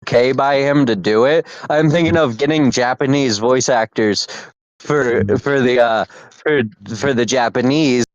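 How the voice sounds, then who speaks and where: A man's voice: mid-range voice, unhurried, no room sound, dull audio. A man hosting a casual podcast, rambling and repeating himself with lots of fillers. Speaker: podcast host.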